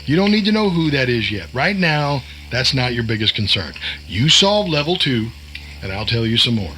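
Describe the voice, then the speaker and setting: A man's voice: mid-range voice, very animated, moderate pace, sharp tone, slight background noise. A man playing a folksy, wisecracking secret agent posing as a night cook, a glib and sardonic explainer, in an audio drama. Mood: guarded, casual.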